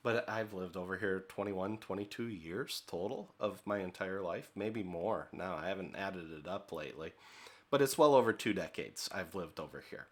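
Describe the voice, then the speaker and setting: Middle-aged American man, lower register, leadership trainer, chatty and informal, lots of fillers. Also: normal speed. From United States. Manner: conversational, many fillers, asks "okay?". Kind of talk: recorded training lecture.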